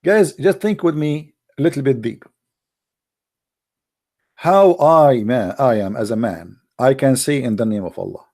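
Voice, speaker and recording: A man's voice: medium pitch, slow pace, pauses often, a little mellow. A man giving an argumentative religious monologue, full of rhetorical questions, in slightly broken English. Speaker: religious debater. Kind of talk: polemical monologue.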